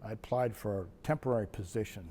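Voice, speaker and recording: A man's voice: on the low side, quick pace, mellow, slight background noise. Older man spinning a rambling story. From Maine, USA. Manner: rambling, chuckling storyteller with frequent restarts. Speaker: retired wildlife biologist. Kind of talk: reminiscing personal story.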